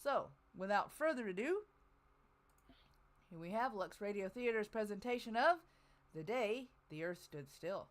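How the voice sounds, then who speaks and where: A woman's voice: mid-range voice, expressive, measured pace, long pauses. A woman hosting a show, reading out a scripted introduction with informal asides to the people listening along. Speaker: host. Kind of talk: casual scripted introduction read aloud to co-panelists.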